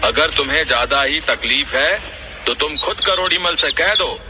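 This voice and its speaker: A man's voice: on the high side, normal speed, natural voice. A man voicing a defensive, officious servant who talks back pushily in a moral story. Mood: defensive, indignant. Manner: indignant, pushy. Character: defensive, officious male servant guarding his master's interests. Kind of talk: dramatised Hindi moral story. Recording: loud music behind the voice.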